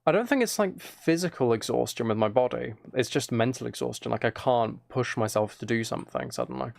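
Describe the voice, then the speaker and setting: A very tired man chatting casually and quietly on a game livestream, rambling and slightly mumbled in a soft ASMR manner. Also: medium pitch, brisk, vocal fry. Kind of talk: casual gaming stream chat, ASMR.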